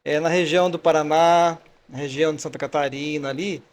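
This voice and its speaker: A man hosts a faith podcast with a chatty, welcoming manner. His voice sits a bit high for a man. He rambles at times and stops to hunt for a word. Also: fairly even intonation, a little fast. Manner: warm welcoming, chatty, searches for words. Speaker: podcast host. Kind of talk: podcast interview.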